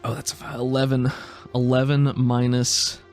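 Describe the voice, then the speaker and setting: A man's voice: mid-range voice, completely flat delivery, normal speed, faint music behind. A man narrating a tabletop game as game master and podcast host, in an informal, descriptive storytelling way.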